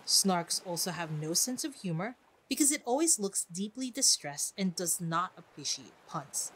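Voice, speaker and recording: A woman's voice: on the low side, big pitch swings, moderate pace, a bit bright, slight background noise, low-quality recording. Woman podcaster with wry asides. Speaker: podcast host. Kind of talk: chatty solo literary commentary.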